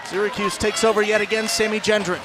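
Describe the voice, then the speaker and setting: A man doing animated, projected play-by-play commentary on a live ice hockey broadcast. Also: high-pitched, fast talker, music in the background. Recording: loud crowd and arena noise behind. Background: ice rink crowd.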